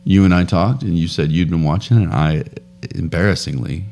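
Podcast co-host, thirties, deep male voice, rambling storyteller, close studio mic. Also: moderate pace, vocal fry. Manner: rambling storyteller, laughs often, animated. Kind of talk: casual chat. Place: home studio room, indoors. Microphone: boom studio mic with headphones.

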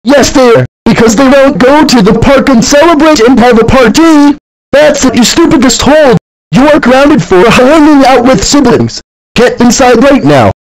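A synthetic male voice doing a shrill shrieking scold. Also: expressive, measured pace, full character voice, poor audio. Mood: shrieking angry. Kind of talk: computer-voiced fan cartoon.

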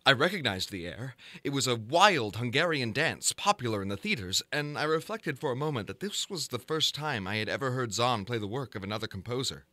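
A man giving a dramatic reading of a gothic horror story in a theatrical, deliberate manner. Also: medium pitch, pushed and bright, no echo. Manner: theatrical, deliberate, ornate literary delivery. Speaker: narrator, audiobook reader.

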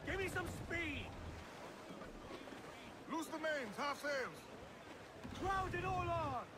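An actor playing a hard-driving sailor barking sailing orders in a video game. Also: male voice, extremely high pitch, animated, slow, heavily acted. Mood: urgent. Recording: loud sea and ship noise.

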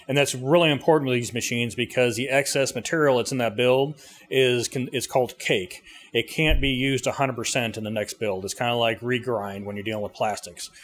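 Middle-aged man, business owner explaining his machine on location; plain, halting delivery, noisy room. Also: medium pitch, fairly even intonation, a bit bright. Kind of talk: informal technical explanation, interview answers. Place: indoor 3D printing workshop. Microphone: handheld interview mic held by interviewer.

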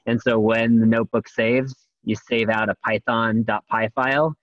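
A man's voice: medium pitch, steady tone, normal speed, no echo. A man presenting at a meetup, explaining points agreeably over a video call. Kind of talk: casual video call discussion. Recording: dull dry video call audio.

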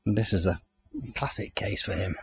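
A man's voice: on the low side, steady tone, normal speed, slight background noise. A man from the UK giving a chatty, enthusiastic walking tour of a country garden outdoors, recorded on the camera he carries. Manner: chatty, enthusiastic, thinking aloud. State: hot and overdressed while walking. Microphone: handheld camera mic, walking.

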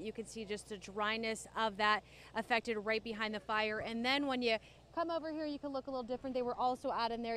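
An American woman in her thirties delivers a TV news report in a fairly high voice. There is light outdoor noise behind her as she works as a field reporter. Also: fairly bright tone. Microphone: handheld reporter microphone.

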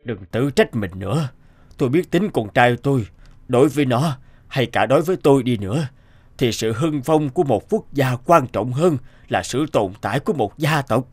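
A man's voice: mid-range voice, lively intonation, moderate pace, no echo, natural voice. A man voicing a stoic older general, a proud grieving father in a cartoon, solemn and restrained.

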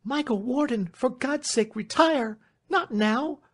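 A man voicing an earnest, agitated young lady in an audiobook, pleading with urgent, hushed entreaty. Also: sits high, lively intonation, slow pace, voice pushed up, heavily acted.

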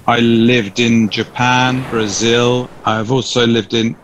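A man born in the UK, reflecting calmly in a documentary interview. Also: medium pitch, takes it slowly, slight background noise. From United Kingdom.

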